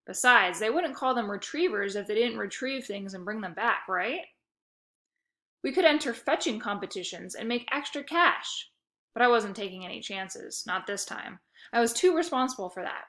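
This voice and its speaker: A woman reading a children's novel aloud with a lively, expressive storytelling manner. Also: mid-range voice. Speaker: reader.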